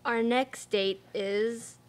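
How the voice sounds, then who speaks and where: Woman as a slow, dry event announcer. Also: fairly high pitch, fairly even intonation. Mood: dry, announcing. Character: weary, sardonic dating-event announcer.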